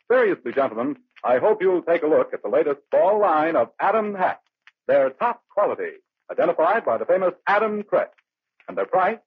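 Male vintage radio announcer, sponsor read. Animated, very husky, middle pitch; dry, tinny old recording. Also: dry recording.

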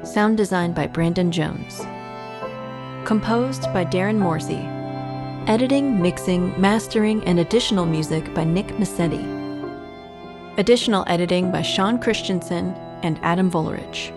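A woman's voice: fairly low pitch, normal speed. A woman announcer giving a scripted read of credits for a podcast. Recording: music bed under voice.

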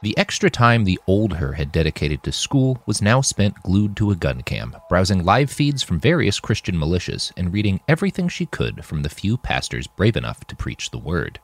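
A man reading scripted fiction narration in an expressive, dramatic storytelling manner. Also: deep voice, normal speed, few pauses, dry recording. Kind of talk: scripted audiobook-style narration of a dystopian war novel.

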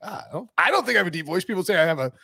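Young man with a raspy, dry-recorded voice. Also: high-pitched, no room sound. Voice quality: called raspy by others. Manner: quick laughs, wry, gets animated. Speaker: sports podcast co-host. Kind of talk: casual banter, reading a report aloud.

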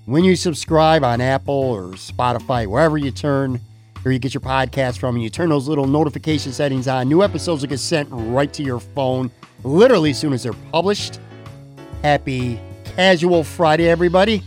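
A man's voice: medium pitch, fairly quick, soft background music. A man turning fifty, hosting a podcast in a chatty, rambling, friendly way, calling his guest "man" and "brother". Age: 50s. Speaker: podcast host. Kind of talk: casual chat.

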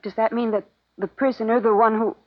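An actress playing an anxious, emotional daughter in a 1950s radio drama, breathless and dramatic. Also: female voice, on the high side, flat delivery, brisk, lightly acted. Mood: eager, pleading. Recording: muffled, noisy old radio broadcast.

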